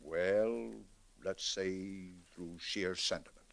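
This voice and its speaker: An American man playing a grave, formal, deliberate judge in an old-time radio drama. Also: deep voice, gravelly, exaggerated voice. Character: grave, measured male judge, conscience-troubled and sentimental.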